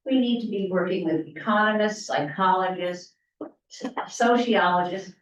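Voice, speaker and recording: A woman anthropologist in the audience making a comment, speaking deliberately and stepping through a list of words one at a time. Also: medium pitch, slight background noise. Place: lecture hall audience. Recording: distant, dull, echoey hall pickup.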